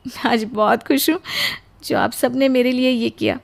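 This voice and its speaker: A woman voicing a sweet, dutiful and grateful young daughter-in-law in an animated story, with an earnest, expressive delivery. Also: on the high side, normal speed, vocal fry. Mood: happy, grateful. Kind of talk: animated Hindi moral story voice acting.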